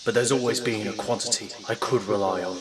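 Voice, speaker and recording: A man playing a grim, brooding masked vigilante and stern father in an audio drama, speaking gravely and deliberately. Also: fairly low pitch, fairly even intonation, noisy background, lightly acted. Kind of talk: dramatic first-person narration.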